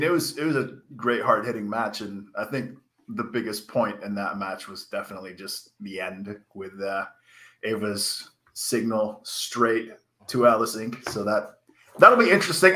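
A man in his thirties co-hosts a wrestling stream, his voice bright and forceful even though he is a bit under the weather and coughing. He talks in an excitable, rambling, slangy way through a headset mic. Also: lowish voice, moderate pace. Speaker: wrestling commentator. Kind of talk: casual live-stream commentary chat.

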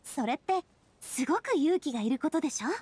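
A woman's voice: high-pitched, expressive, a little fast, a little mellow. A woman voicing a confident, straight-talking young female ruler in a Japanese video game, encouraging and casually frank. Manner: casual, frank, assured speech.

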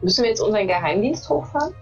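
A woman's voice: fairly high pitch, expressive, rapid-fire, a little mellow, slightly rough, soft background music. Woman from Saxony on a dull call line. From Saxony, Germany. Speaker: political scientist co-host. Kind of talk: casual livestream chat. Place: home room.

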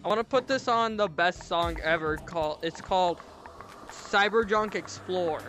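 A man's voice: high-pitched, a little slow, a bit bright, slight background noise. A man bantering casually while playing a video game, teasing and often repeating himself.

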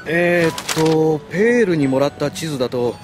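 A man's voice: fairly high pitch, expressive, moderate pace, over music, close to an everyday voice. An actor playing a friendly, considerate young swordsman with a casual, apologetic manner in an anime audio drama.

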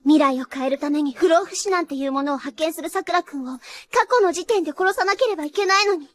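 Casting: an anime angel girl, extremely high childlike voice, earnest and over-polite, a hapless would-be killer who flusters easily. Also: quick pace. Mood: grave, explaining. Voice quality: childlike, cutesy. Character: clumsy, polite young angel girl sent to kill. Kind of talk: Japanese anime character acting.

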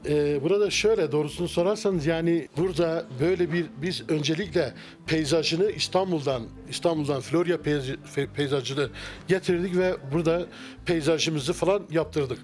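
Hesitant middle-aged man, slightly high voice. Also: moderate pace, darkish tone, soft background music. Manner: hesitant, many fillers and restarts. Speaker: restaurant owner. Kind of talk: casual interview. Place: wood-paneled restaurant interior. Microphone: handheld mic held by interviewer.

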